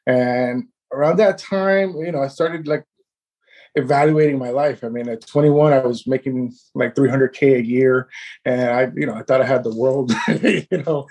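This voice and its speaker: A man in his thirties, born in Cuba and raised in Miami, telling his story as a podcast guest in a relaxed way and laughing now and then. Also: medium pitch. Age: 30s. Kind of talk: casual interview storytelling.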